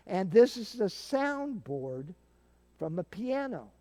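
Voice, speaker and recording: A man's voice: high-pitched, big pitch swings, slow pace, dark tone. An older man casually explaining and demonstrating things as a guest. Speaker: guest, theater sound-effects practitioner.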